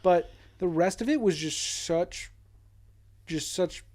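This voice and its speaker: A man in his twenties, a bit tipsy, co-hosting a podcast. His voice sits fairly high, and he cracks self-mocking jokes in loose, profane chat. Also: takes it slowly, darkish tone. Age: 20s. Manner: joking, self-mocking, swearing. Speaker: podcast co-host. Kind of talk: casual chat.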